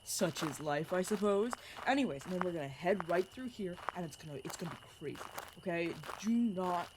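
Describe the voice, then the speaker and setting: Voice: mid-range voice, lively intonation, a little fast, noisy background, lightly acted. A performer playing an awkward, friendly young vampire guide in an audio roleplay, rambling and self-deprecating. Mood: sheepish rambling. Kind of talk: narrative audio roleplay acting.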